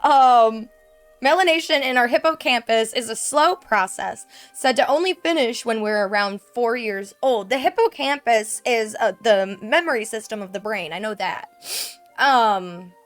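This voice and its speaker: A woman's voice: a little high, measured pace, faint music behind. A woman with a congested, stuffy voice from a cold, giving rambling, casual commentary while playing a video game. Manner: chatty, rambling, lots of fillers. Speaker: let's play streamer.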